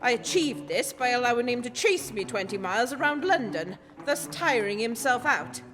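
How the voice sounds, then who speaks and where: A woman acts an earnest detective's companion in a radio play. The voice is high, articulate and lively in its swoops, with hall-like reverb around it. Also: moderate pace, music in the background, close to an everyday voice. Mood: weary storytelling. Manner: expressive, articulate storytelling. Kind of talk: radio play acting and scripted narration.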